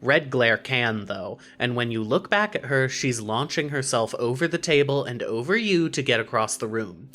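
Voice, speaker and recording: A man narrating scripted fiction in a measured, wry manner. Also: mid-range voice, expressive, bright, forceful tone.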